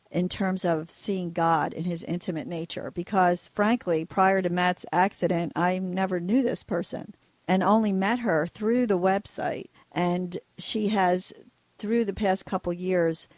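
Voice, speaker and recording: Raspy-voiced woman radio host. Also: fairly low pitch, fairly even intonation, measured pace, no echo. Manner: warm, joking conversational hosting.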